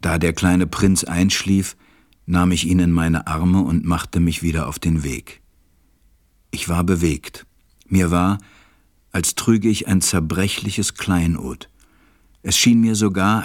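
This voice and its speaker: A man acting a reflective, tender pilot who narrates a radio play in a measured, gentle way. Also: deep voice. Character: reflective adult male pilot, tender storyteller.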